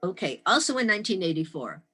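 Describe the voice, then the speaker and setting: An American woman in her sixties giving a prepared talk as a storyteller, with deliberate, emphatic phrasing and dramatic pauses. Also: fairly low pitch, big pitch swings, bright, forceful tone, husky. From Detroit, Michigan, United States. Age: 60s. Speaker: storyteller and speaker. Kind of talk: prepared historical talk with personal stories.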